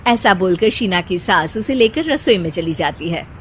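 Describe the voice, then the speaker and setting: A woman's voice: lowish voice, animated, normal speed, a little hiss or hum. A woman narrating a scripted family cartoon story as its storyteller.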